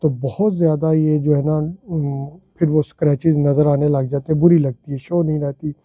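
A man's voice: a little high, fairly even intonation, moderate pace, no echo, highs cut off, faint music behind. A middle-aged man in a home garage, chatting to viewers as he walks them step by step through a car wash, recorded on a phone. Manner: chatty, explaining step by step, addressing viewers politely. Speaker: vlogger. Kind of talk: casual how-to narration.

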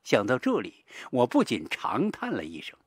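A man's voice: mid-range voice, wildly swooping pitch, moderate pace, breathy edge, no echo, studio quality. A man narrating a horror-mystery audiobook in a dramatic, scripted storytelling style.

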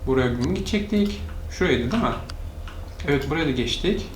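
A man talks quickly through a casual gaming session, narrating each move to the viewers. He sounds like a channel host chatting informally while he plays. The room is echoey, with faint noise behind the voice. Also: on the high side, lively intonation. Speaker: gaming channel host, parent playing with child. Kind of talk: casual let's-play commentary.

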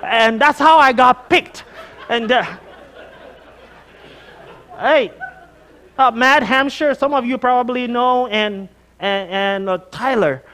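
High-pitched Nigerian man preaching. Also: takes it slowly, pauses often, a faint crowd. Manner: animated storytelling, emphatic repetition. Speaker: guest preacher. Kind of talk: conversational sermon with personal anecdotes. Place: church sanctuary, on stage before a congregation.

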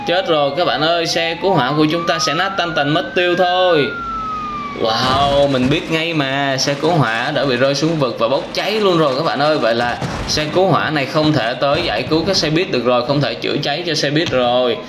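A man's voice: on the high side, a little fast. A young man with a southern Vietnamese accent giving casual, exclamatory reaction commentary as a gaming host, speaking straight to young viewers. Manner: exclamatory storytelling with frequent wows and addresses to viewers. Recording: clearly noisy with game vehicle sounds and sirens.

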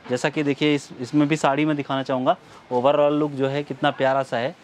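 A middle-aged shop owner showing sarees in his store, with a salesman's pitch, recorded on a lapel mic. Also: flat delivery, a little fast, slight background noise. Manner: salesman's pitch, addresses viewers as friends. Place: large saree store indoors.

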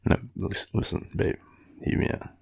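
A tired young Australian man murmuring an affectionate, rambling boyfriend roleplay in a deep, gravelly, breathy voice. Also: moderate pace. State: tired, sleepy. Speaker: boyfriend roleplay audio performer. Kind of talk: intimate sleepy roleplay, ASMR-like rambling.

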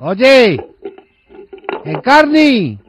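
A man's voice: extremely high pitch, big pitch swings, extremely slow, slight background noise. A man with an accent from Spain making a prank call, insistent and repetitive, interrupting and talking over the listener as he tries to explain. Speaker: prank caller posing as a kitchen fitter. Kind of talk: phone prank, improvised conversation.

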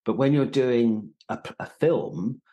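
A middle-aged British man explaining like a teacher in conversation, halting and repeating words as he goes. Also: mid-range voice, lively intonation, dark tone, dull audio. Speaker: acting teacher, podcast host. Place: indoor room on a video call.

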